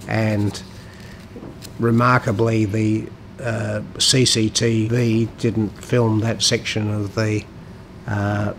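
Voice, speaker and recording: A man giving reflective commentary on his poetry in formal, deliberate, halting phrases. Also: fairly low pitch, noisy background. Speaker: poet and lawyer discussing his own work.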